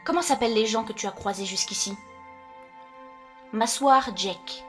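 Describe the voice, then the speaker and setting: A woman acting a knowing younger sister from beyond the grave in an audio drama, poised and measured. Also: on the high side, natural voice. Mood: reproachful. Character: teasing, reproachful dead younger sister, imagined grown up. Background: music.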